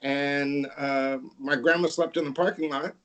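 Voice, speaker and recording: A man's voice: fairly high pitch, steady tone, measured pace, fairly bright tone, lo-fi sound. A middle-aged man from California, a radio DJ guest telling long, laid-back stories with laughs. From Santa Barbara, California. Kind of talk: casual interview storytelling.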